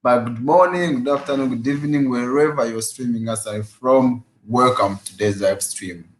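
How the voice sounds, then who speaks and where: Energetic live-stream fitness host, man. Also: mid-range voice, moderate pace. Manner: energetic, greets viewers by name, repeats phrases. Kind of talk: casual live-stream chat. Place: his room at home after work. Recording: echoey room.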